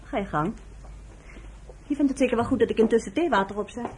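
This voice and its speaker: A proud, self-possessed woman medic in a radio play, played by an actress. She is outspoken and independent, with a somewhat high voice on a faintly noisy recording. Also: fast, darkish tone, lightly acted. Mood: polite. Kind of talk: Dutch radio play acting.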